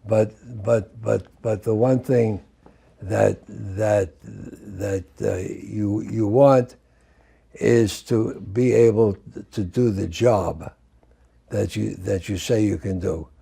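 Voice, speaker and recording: A man in his nineties, a guest entrepreneur, talking slowly and haltingly in a casual interview. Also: on the low side, fairly even intonation, vocal fry, mellow, breathy edge. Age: 90s. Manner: slow, halting, repeats words while searching.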